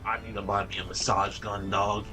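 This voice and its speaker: A young gaming streamer rambles casually over his play, full of slang, half talking to himself. His voice is slightly low but very sharp and bright. Faint music runs behind him. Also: little pitch movement, moderate pace. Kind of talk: casual let's-play commentary.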